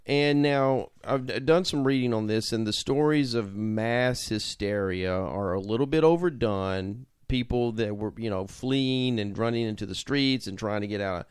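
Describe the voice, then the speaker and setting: A man's voice: medium pitch, normal speed, few pauses, smooth, no echo, clean studio sound. A man co-hosting a podcast in casual chat, often repeating words as he finds his way through a sentence. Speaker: podcast co-host.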